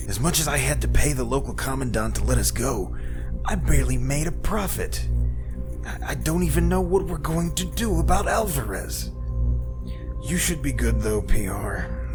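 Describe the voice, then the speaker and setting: A man plays a wry, sarcastic spacer in a sci-fi audio drama. His mid-pitched voice rises and falls in a lively way, with loud music behind it. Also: close to an everyday voice.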